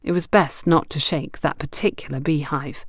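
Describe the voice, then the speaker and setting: A woman's voice: sits low, fairly even intonation, normal speed, dry recording, clean studio sound. A woman reading scripted story narration as an audiobook narrator.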